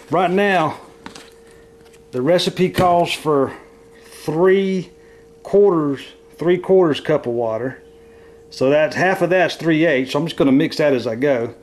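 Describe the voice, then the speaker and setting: A man with a hoarse voice from bronchitis, casually explaining camp food at home in a rambling, chatty way. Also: a little high, a little mellow, slight background noise. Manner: rambling, chatty, self-correcting. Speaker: camping vlogger. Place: at home, indoors.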